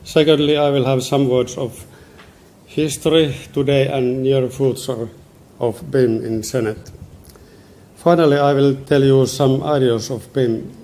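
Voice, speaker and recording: Middle-aged male presenter, Finnish accent, mellow relaxed tone, measured formal delivery. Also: mid-range voice. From Finland. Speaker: conference speaker, senior advisor.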